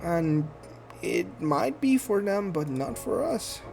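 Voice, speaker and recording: A man in his thirties from Guatemala, speaking English with a Guatemalan Spanish accent, introducing himself in a shy, halting way full of ums and restarts. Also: sits high, big pitch swings, vocal fry, faint music behind. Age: 30s. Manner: shy, soft-spoken, earnest. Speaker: gaming channel host. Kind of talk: casual self-introduction while playing a game.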